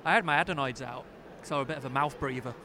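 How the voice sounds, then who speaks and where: A man with a nasal voice co-hosting a podcast, talking quickly with stammering restarts. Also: medium pitch, bright, forceful tone, a little hiss or hum. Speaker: podcast co-host. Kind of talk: casual football watchalong chat.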